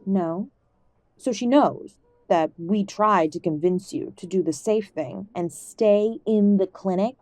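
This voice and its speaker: Defensive, mellow-voiced mother played by a woman. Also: mid-range voice, slow pace, no room sound, lightly acted. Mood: defensive, scolding. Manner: defensive, reproachful. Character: defensive, dismissive mother of an adult son. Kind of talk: audio roleplay drama acting.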